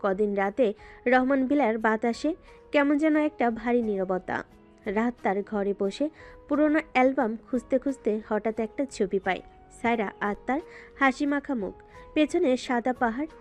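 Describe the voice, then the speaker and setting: A woman's voice: a little high, moderate pace, soft background music. A woman narrating a scripted romantic story in a dramatic style.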